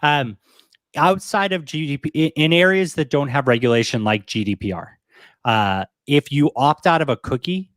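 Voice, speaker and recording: A man's voice: fairly high pitch, expressive, moderate pace, a bit bright, no echo, studio quality. A man speaking as an expert guest, giving informal, plainly explained answers with examples in an interview. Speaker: podcast industry expert guest.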